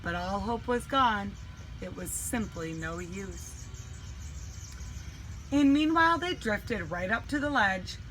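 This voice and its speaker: A woman reading a children's storybook aloud in an animated, dramatic way with deliberate emphasis. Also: mid-range voice, faint music behind, rough recording. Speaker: teacher reading a picture book.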